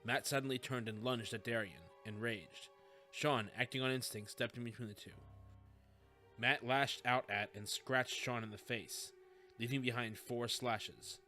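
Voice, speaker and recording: A man's voice: medium pitch, steady tone, measured pace, bright, forceful tone, faint music behind. A man reading scripted fiction as an audiobook narrator.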